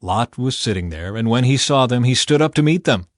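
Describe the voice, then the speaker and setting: A man's voice: mid-range voice, animated, fairly quick, dry recording, clean studio sound. A man narrating a scripted audiobook expressively as a storyteller.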